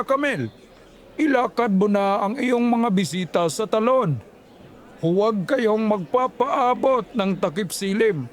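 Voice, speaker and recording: A slow, sinister village elder. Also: male voice, high voice. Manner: slow, ominous, sweetly threatening. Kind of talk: audio horror drama.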